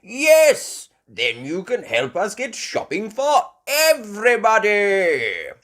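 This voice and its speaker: A woman's voice: mid-range voice, huge pitch swings, slow, pitched above the performer's own voice. A woman voicing a hearty old grandfather pig, a jolly boater, in a children's storybook.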